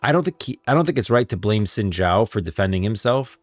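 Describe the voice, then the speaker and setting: A man's voice: fairly low pitch, animated, fairly quick, no echo, faint music behind. A man giving casual livestream commentary on game lore, rambling and thinking aloud as a streamer.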